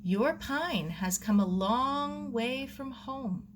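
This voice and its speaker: A woman's voice: sits high, animated, slow pace, a little hiss or hum, voice pushed up. A woman voicing a curious, polite young girl in a storybook, earnest and full of wonder. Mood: wistful.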